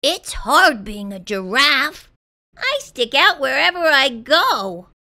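A woman voicing a lonely young giraffe longing for friends in an earnest, childlike, expressive storybook style. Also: high voice, slow, voice pushed up, exaggerated voice. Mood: plaintive. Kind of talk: children's storybook character reading.

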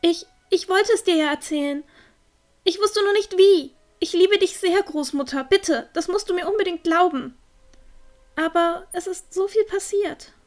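A woman's voice: extremely high pitch, measured pace. A woman playing an earnest teenage village girl, eager yet unsure, in a fantasy audio drama. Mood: hesitant, heartfelt. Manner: earnest, youthful.

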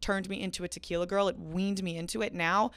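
A woman's voice: on the low side, steady tone, quick pace, fairly bright tone, clean tone. A woman in her twenties hosting a podcast, telling stories in slangy, profane, exaggerated casual chat into a close studio microphone. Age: 20s. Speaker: podcast host. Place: small podcast studio, indoors. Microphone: close studio microphone on a stand.

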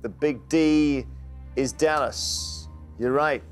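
A man hosting a TV quiz show, announcing and reading questions in a brisk, crisp manner in a studio on a lapel mic. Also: medium pitch, faint music behind. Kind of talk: scripted game show presenting. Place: large TV studio indoors.

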